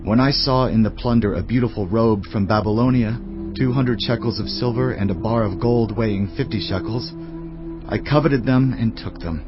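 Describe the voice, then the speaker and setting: A man's voice: on the low side, moderate pace, lightly acted. An actor playing a guilty, sombre Israelite man, speaking with grave remorse in a dramatized audio Bible. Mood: remorseful. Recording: slightly muffled, music behind the voice. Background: dramatic music.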